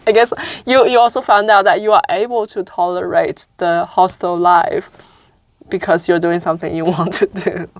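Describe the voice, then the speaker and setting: A woman's voice: fairly low pitch, steady tone, normal speed, no echo. A woman guest in a casual talk who laughs through her words and corrects herself as she goes. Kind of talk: casual conversation.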